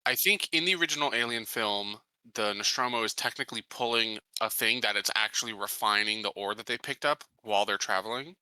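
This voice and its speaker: A man playing a tabletop game and casually discussing it with the group. His voice sits on the low side but is very bright and sharp, recorded dry and close. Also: a little fast, few pauses, clear, resonant tone, no room sound, poor audio.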